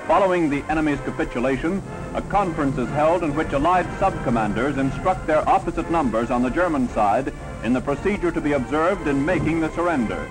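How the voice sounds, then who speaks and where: Declamatory 1940s newsreel narrator, higher-pitched man. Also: lively intonation, a little fast, low-quality recording. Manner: declamatory, dramatic, triumphal. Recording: vintage 1940s newsreel soundtrack. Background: newsreel music.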